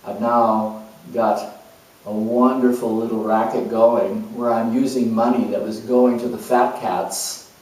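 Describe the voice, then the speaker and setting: A man's voice: on the low side, darkish tone, natural voice. A man playing an eccentric, scatterbrained, idealistic nonconformist in a rambling, emphatic theatrical monologue. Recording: very echoey room, far from mic.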